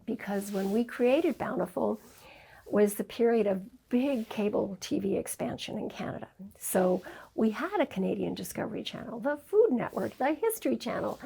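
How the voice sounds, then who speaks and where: A woman's voice: a little high, creaky, mellow, slightly rough. An older woman, born in Scotland, talking candidly and reflectively as an interview guest about her career. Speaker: interview guest, documentary filmmaker. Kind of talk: conversational interview.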